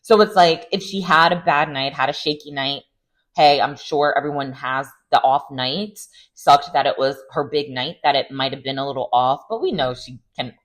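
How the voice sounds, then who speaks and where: A woman giving a casual, opinionated recap of a reality TV show, chatty and animated, with sarcastic asides. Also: rumbling low, normal speed, poor audio. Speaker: recap vlogger.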